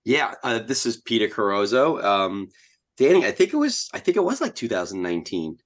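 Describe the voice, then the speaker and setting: A man's voice: lowish voice, sing-song, fairly quick. A man as a podcast guest, telling anecdotes casually and often interrupting himself with side notes.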